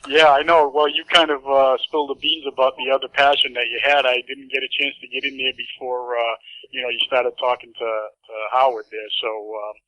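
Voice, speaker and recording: A man's voice: medium pitch, flat delivery, moderate pace, no echo, muffled recording. A man with a Boston accent calling into a radio show, folksy and friendly, calling people buddy and friend. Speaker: caller.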